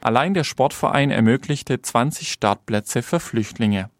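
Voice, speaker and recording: Male reporter, mid-pitched voice, scripted radio feature narration. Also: expressive, a little slow, no room sound, studio quality.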